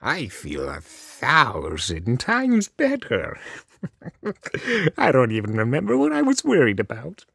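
A man's voice: mid-range voice, huge pitch swings, vocal fry, fairly bright tone, slightly rough, no echo, clean studio sound, exaggerated voice. Man voicing a theatrical swooping chimera trickster. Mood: drunk, giddy. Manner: theatrical, swooping, drawn-out delivery. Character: male chimera trickster, mopey worrier turned giddy drunk. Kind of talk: animated fan radio play acting.

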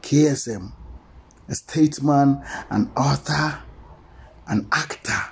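A man giving informal news commentary to introduce an interview, in emphatic, repetitive, halting phrases. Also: medium pitch, gravelly, slightly rough.

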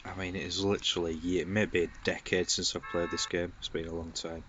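A man's voice: sits low, flat delivery, brisk, dull audio, background noise. A man with a head cold, chatting casually as he plays through a game, making joking asides. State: stuffy with a cold. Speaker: gaming channel host. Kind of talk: casual let's-play commentary.

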